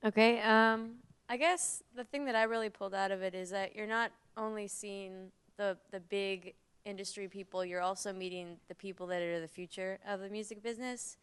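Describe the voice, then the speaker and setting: A woman's voice: medium pitch, steady tone, normal speed, hardly any pauses, a bit bright, clear, resonant tone. A young woman student around twenty sharing her experience at a panel, eager and a little hesitant. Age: about 20. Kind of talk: panel discussion.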